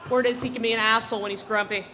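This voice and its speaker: A woman's voice: on the high side, steady tone, racing, background noise, close to an everyday voice. An actress playing a young man at a gym who talks in a casual, offhand way. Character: laid-back young male gym-goer. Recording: long hall reverb.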